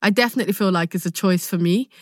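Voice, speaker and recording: A woman's voice: mid-range voice, rapid-fire, no room sound, clean studio sound. A young woman with a London accent chatting casually and expressively, telling stories in a candid, slangy way. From London, England. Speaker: podcast guest, actor. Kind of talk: casual chat, animated storytelling.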